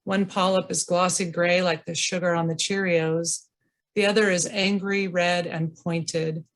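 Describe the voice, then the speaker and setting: A woman reading her own memoir aloud at a virtual reading, slow and deliberate, over a video call. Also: fairly low pitch, steady tone. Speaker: reader.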